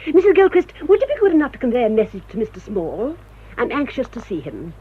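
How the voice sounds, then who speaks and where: An actress playing a sour, haughty older English village spinster in a radio drama, with pointed, formal politeness. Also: female voice, on the high side, wildly swooping pitch, fast, breathy edge, heavily acted. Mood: imperious, cutting. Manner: clipped, pointed, formal politeness with barbed digs. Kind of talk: British radio drama acting. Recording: dull, noisy old radio drama recording.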